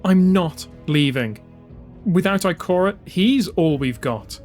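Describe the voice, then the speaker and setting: A stubborn young woman fighter , acted out by a man, pleads emphatically in an audiobook drama. The voice is pitched up and urgent, with music underneath. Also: animated, takes it slowly, darkish tone. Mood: defiant, angry.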